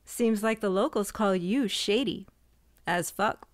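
An actress voicing a quiet, stubborn young woman who dabbles in magic, in an audio drama, speaking plainly and earnestly. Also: medium pitch, unhurried, dry recording, clean studio sound, lightly acted. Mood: defensive. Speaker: shop heir and cousin.

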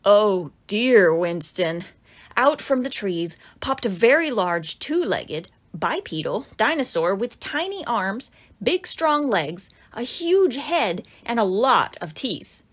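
A woman reading her own children's picture book aloud, with expressive storybook narration and dramatic exclamations for young listeners. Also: mid-range voice, takes it slowly.

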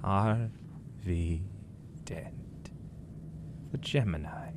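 Man as imprisoned madman, low voice, cryptic, menacing, radio drama, noisy background. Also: very slow. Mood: sly menace. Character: creepy, calculating imprisoned male madman. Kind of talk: radio noir acting. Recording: clear background noise.

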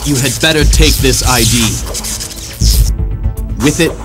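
A man voices a loyal robot resistance soldier in a video game. His speech is formal and earnest at a medium pitch, and music runs clearly behind it. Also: steady tone, measured pace, lightly acted. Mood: grave, formal. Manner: stiff, formal, uncontracted speech. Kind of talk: video game cutscene acting.

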